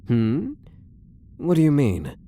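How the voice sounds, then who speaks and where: Guy doing a lovestruck dangerous elf prisoner for asmr roleplay, slow and teasing, really swoopy delivery, some noise behind. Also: medium pitch, huge pitch swings, warm tone, heavily acted. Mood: teasing, flirtatious. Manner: teasing, drawn-out doting endearments, theatrical. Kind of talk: intimate ASMR roleplay acting.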